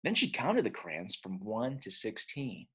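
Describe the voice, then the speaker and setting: A man narrates a children's book in an expressive read-aloud style. His voice is husky, at a middle pitch, and it rises and falls dramatically from phrase to phrase. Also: measured pace.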